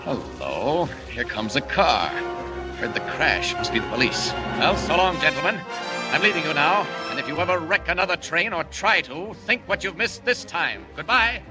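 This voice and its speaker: An actor playing a commanding superhero who firmly mocks crooks in a 1940s radio serial. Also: male voice, fairly high pitch, big pitch swings, normal speed, rough recording. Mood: mocking command. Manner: firm, mocking. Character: commanding, taunting male superhero, scornful of crooks. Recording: old radio serial, very loud music.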